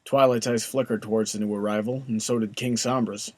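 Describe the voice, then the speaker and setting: A man's voice: on the low side, fairly even intonation, brisk, darkish tone, slight background noise. A man narrating a fan fiction story in a scripted storybook style.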